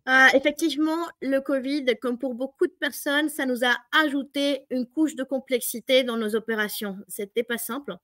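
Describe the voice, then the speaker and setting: A woman's voice: sits high, fairly even intonation, normal speed, few pauses, a bit bright, dry recording, clean studio sound. A woman from Mexico with a Mexican Spanish accent, explaining her work as an interview guest. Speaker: interviewed biotech industry professional.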